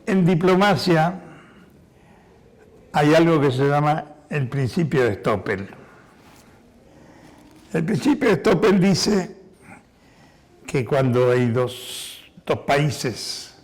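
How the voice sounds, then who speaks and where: A hoarse man in his sixties, born in Spain, giving a war veteran's testimony to an audience, explaining things carefully with many hesitations. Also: fairly high pitch, expressive, slow pace, pauses often.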